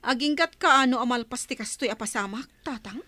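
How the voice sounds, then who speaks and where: A woman's voice: a little high, a little fast, sharp tone, no echo. A woman with a Filipino accent playing a stern, principled young tribeswoman, a chieftain's daughter, in a radio drama.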